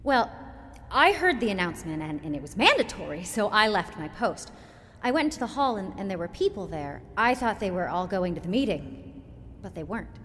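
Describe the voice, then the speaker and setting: A woman's voice: mid-range voice, sing-song, fairly quick, a bit bright. A woman playing a meek, dutiful office clerk in an audio drama, hesitant and apologetic. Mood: dutiful, nervous. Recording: echoing hall, faint noise.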